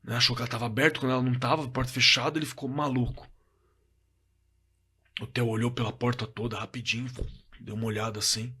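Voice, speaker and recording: A man with a Brazilian accent playing a shaken young man recounting a frightening night in a halting, colloquial way, in a horror audio drama. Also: medium pitch, level tone, pushed and bright, husky. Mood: tense. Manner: halting, repeating words, colloquial. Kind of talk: dramatized first-person horror story, told conversationally.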